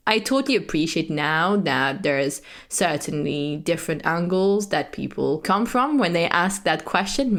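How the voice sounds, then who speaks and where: A woman from France hosting a podcast, speaking in an earnest, reflective monologue with frequent "you know" and "um". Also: low-pitched. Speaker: podcast host.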